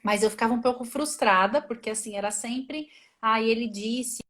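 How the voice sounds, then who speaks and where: Woman, fairly high voice, casual chatty translator, thinks aloud, restarts often, video call. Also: moderate pace, a bit bright. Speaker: translator and reviser, guest. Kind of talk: casual interview over a live stream. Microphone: phone or laptop mic on a video call.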